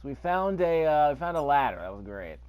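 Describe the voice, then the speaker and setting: A man's voice: a little high, very animated, brisk, clean tone, highs cut off. A man giving casual gameplay commentary, thinking aloud to himself as he plays. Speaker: gamer recording a gameplay video.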